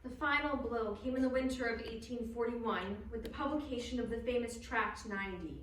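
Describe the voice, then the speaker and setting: A woman's voice: a little high, brisk, a bit bright. A woman narrating a scripted dramatic reading. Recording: distant echoey hall, faint room noise.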